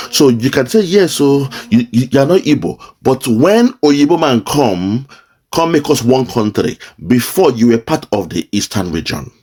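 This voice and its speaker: Lively Nigerian man mixing Pidgin and English. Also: medium pitch. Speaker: online show host reacting to a clip. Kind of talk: casual commentary.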